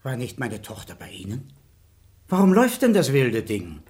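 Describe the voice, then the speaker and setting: Effusive fifty-year-old baron. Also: male voice, medium pitch, huge pitch swings, normal speed, a little mellow. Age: 50s. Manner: effusive, courteous. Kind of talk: radio drama acting.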